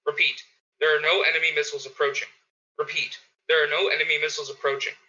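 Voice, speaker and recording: A man's voice: fairly high pitch, level tone, fast, bright, forceful tone. A man playing an urgent emergency radio announcer, giving official bulletins in a radio play. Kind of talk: repetitive emergency broadcast announcement. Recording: dull lo-fi audio.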